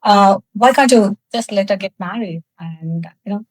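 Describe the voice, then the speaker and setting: A woman from South India whose first language is Tamil, telling her personal story as a guest in a podcast interview, candid, with frequent laughs and halting fillers. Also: medium pitch, dry recording, poor audio. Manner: candid, laughs often, pauses with many fillers. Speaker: guest, cosmetics founder.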